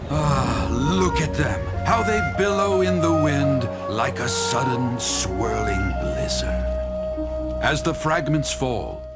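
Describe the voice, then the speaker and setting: An actor playing a theatrical teahouse storyteller in a game, dramatic and flowery, savoring each phrase. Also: male voice, medium pitch, expressive, takes it slowly, loud music behind the voice. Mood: awed, measured. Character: theatrical male teahouse storyteller spinning grand tales. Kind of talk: storytelling in an English game dub.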